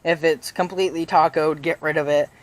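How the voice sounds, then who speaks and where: A young man talks through bike tips in a loose, rambling way, stacking fillers and restarting his sentences. His voice sits a little high and is slightly raspy, and he sounds casual. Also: steady tone, a little fast, no echo. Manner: rambling, full of "you know" fillers and restarts. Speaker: BMX vlogger. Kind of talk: casual how-to vlog. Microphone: chest-mounted action camera.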